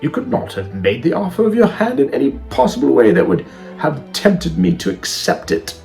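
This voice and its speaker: A man's voice: fairly high pitch, very animated, moderate pace, vocal fry, darkish tone, faint music behind, pitched above the performer's own voice. A man voicing a spirited, proud young woman from a classic romance storybook, with formal, emphatic phrasing. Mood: indignant refusal.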